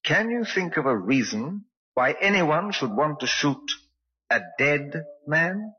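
An actor playing a courteous, shrewd police inspector in an old radio drama, formal and probing in manner. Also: male voice, a little high, sing-song, slow, husky, full character voice. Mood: polite, probing. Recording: dull old radio recording.

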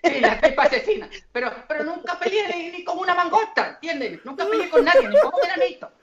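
A man's voice: extremely high pitch, big pitch swings, fast talker, crowd noise. A man from the Dominican Republic, a singer and comedian, chatting as an interview guest with many hesitant fillers and restarts. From raised in the Dominican Republic. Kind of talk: casual interview chat. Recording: echoey and dull.

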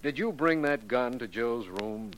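An actor playing a brisk, earnest district attorney in 1940s radio drama, with a businesslike, courteous, conversational manner. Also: male voice, medium pitch, a bit bright, natural voice. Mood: firm, official. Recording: noisy vintage 1940s radio recording.

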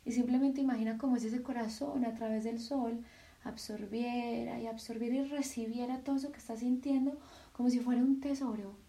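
A woman leading a guided meditation in a soft, soothing voice, with slow sighing breaths between phrases. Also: a little high, steady tone, recorded from a distance.

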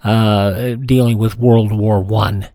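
An American man hosting a radio show, reading a history rundown informally, with halting asides. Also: fairly low pitch, steady tone, warm tone, no echo. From Kansas, United States.

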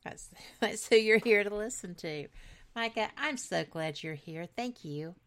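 Raspy-voiced woman radio host. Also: mid-range voice, animated, a little slow. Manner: chatty, enthusiastic, with restarts. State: hoarse. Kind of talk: casual radio talk.

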